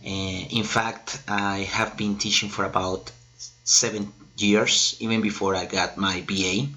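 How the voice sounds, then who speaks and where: A man's voice: on the low side, little pitch movement, a little slow, sharp tone, a little hiss or hum. A man in his thirties from Colombia, a native Spanish speaker with a Colombian accent, presenting himself as an English teacher in a hesitant, explanatory way. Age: 30s. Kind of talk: self-introduction presentation to camera.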